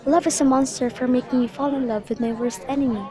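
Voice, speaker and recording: A woman's voice: sits high, fairly even intonation, fast talker, lightly acted. A young woman playing a lovestruck, anxious young noblewoman in an amateur Shakespeare radio drama. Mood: lovelorn. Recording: crowd noise behind voice.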